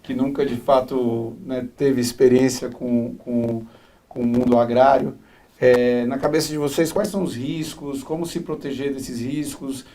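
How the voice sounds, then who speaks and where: A male moderator with a mellow, warm tone and a mid-range voice. He poses long questions to the panel, padding them with hesitations and tag words. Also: mid-range voice, steady tone, measured pace. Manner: questioning, lots of filler "né" and "é". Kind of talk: panel discussion.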